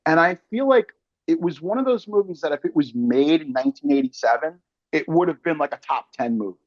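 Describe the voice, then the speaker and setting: Higher-pitched man hosting, slightly dull sound. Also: lively intonation, a little fast, dry recording. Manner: emphatic, slangy, speaks in analogies. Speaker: podcast host and interviewer. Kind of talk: casual film interview.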